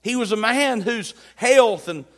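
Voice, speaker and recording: A man delivers a sermon in a high voice, reading verses and then retelling them in plain, emphatic speech. His words ring out with a long reverb, as if heard in a church sanctuary. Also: lively intonation, unhurried, fairly bright tone. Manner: emphatic preaching, paraphrasing scripture in plain talk. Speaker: preacher.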